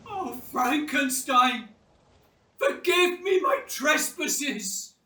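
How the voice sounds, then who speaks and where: A high, bright-voiced monster, tormented and full of self-loathing. The speech is slow, formal and theatrical, heavy with anguish and loneliness, in a roomy radio play sound. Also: expressive, distant mic, heavily acted.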